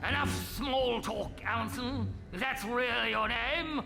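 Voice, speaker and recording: An actor with an upper-class English accent playing a pompous, blustering polar expedition captain. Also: male voice, very high-pitched, takes it slowly, vocal fry, in a large hall, music in the background, heavily acted.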